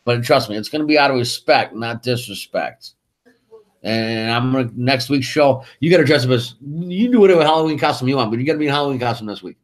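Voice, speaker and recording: A man's voice: mid-range voice, quick pace. A man co-hosting a casual political talk show, arguing emphatically with many restarts. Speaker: co-host.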